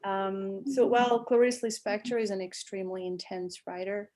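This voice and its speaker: A woman's voice: medium pitch, fairly even intonation, moderate pace, darkish tone. Hesitant woman from Portugal on a call. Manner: hesitant, many ums and restarts. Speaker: guest. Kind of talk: casual video-call chat. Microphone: video call.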